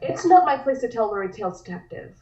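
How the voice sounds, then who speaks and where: A woman's voice: on the high side, big pitch swings, quick pace, mellow, echoey room, highs cut off. A woman playing a discreet, uneasy sanitarium orderly in a script table read, polite and hesitant. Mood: discreet, guarded.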